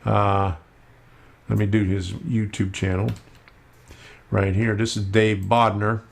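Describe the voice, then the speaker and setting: A man hosting a casual live stream about model railroading, talking slowly with many fillers as he works at his computer. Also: fairly low pitch, flat delivery, creaky. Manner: slow, unhurried, with many fillers while working on screen.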